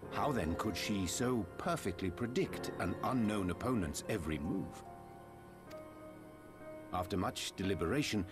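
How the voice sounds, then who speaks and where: An actor playing a verbose, scholarly sage in a video game, with archaic, deliberate and elaborate diction. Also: male voice, on the low side, close to an everyday voice. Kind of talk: video game dialogue acting.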